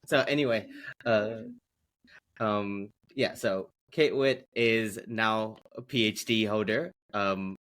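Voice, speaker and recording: A man hosting a podcast from an office, friendly and halting, with many ums and restarts. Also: on the low side, fairly bright tone. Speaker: podcast host.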